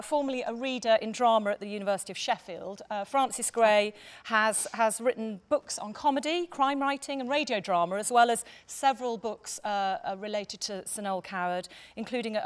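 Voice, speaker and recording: Middle-aged lady hosting a panel on stage, reading off notes, medium pitch, bit hesitant. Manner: hesitant, often pausing with ums. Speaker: panel chair. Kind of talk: semi-scripted introductions and reading from notes at a panel. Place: on stage in a theatre.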